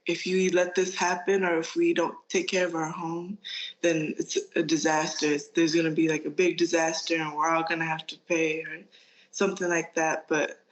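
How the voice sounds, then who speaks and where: An eighteen-year-old man speaks casually and unhurriedly, leaning on "like" as he answers. His pitch stays flat and even. The recording has a dull, lo-fi sound. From Florida, United States. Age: teens. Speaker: guest.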